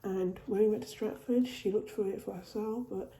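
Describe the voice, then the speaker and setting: Woman, home vlogger, very dark tone, recovering from a cold, roomy echo. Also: medium pitch, steady tone, normal speed, slight background noise. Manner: chatty, repeats and restarts phrases. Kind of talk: casual haul video. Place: at home, indoors.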